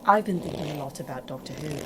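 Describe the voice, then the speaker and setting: An actress playing a calm, precise facility A.I. in a sci-fi audio drama, speaking formally and deadpan with a dry, teasing edge. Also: female voice, deep voice, fast, background noise, close to an everyday voice. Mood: composed, dry. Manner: formal, deadpan, few contractions.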